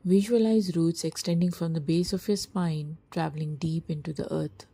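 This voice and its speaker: Low, mellow woman guiding a meditation. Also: fairly even intonation, no room sound. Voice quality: soft, breathy, husky. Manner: slow, soothing, hushed delivery with long pauses. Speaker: meditation guide. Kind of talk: scripted guided meditation narration.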